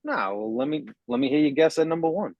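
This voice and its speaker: A man co-hosting a casual podcast, relaxed and teasing as he steers the talk to the next topic. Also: on the high side, quick pace, no echo, highs cut off. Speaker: podcast co-host.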